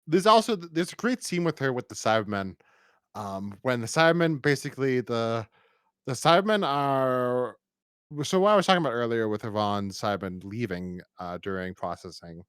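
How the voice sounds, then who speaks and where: A man's voice: medium pitch, animated, clean tone, dry recording, clean studio sound. A man co-hosting a podcast, chatting casually about an audio drama in a rambling way, often restarting and repeating his words. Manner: rambling, halting with frequent false starts and repeated words. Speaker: podcast co-host.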